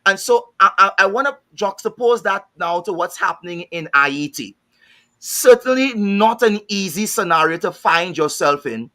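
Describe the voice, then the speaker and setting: Bright-voiced Trinidadian man, searching for words. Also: high voice, animated, moderate pace. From Trinidad and Tobago. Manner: thoughtful, repeats words while searching for them. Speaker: panel guest. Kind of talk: panel discussion.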